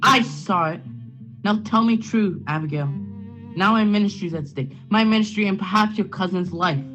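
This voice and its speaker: Dull, lo-fi audio with music clearly underneath carries a man playing a middle-aged Puritan minister. He is stern yet fearful for his position, questioning urgently in formal, archaic phrases, as in a period radio drama. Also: sits high, moderate pace, fairly bright tone. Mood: stern, anxious. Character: anxious, self-protective Puritan minister, stern uncle. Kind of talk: period stage drama acting, radio play. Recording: dull lo-fi sound with music behind.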